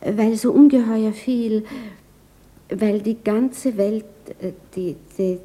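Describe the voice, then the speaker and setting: Dark-voiced, shy widow. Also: female voice, mid-range voice. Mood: halting, nervous. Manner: hesitant, self-effacing, halting. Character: shy, eager young widow, provincial piano teacher. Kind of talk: naturalistic 1960s radio drama acting.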